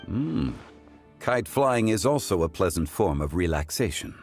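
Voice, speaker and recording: A man voicing a calm, learned, formal gentleman in a video game. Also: low voice, a little slow, full character voice. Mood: courteous. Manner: formal, refined. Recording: faint music behind.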